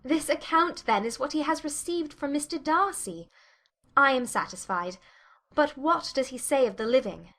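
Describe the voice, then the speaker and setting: A woman voicing a spirited, candid young gentlewoman in a dramatized classic novel, articulate and politely challenging. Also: high-pitched, animated, normal speed, pitched above the performer's own voice. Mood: curious.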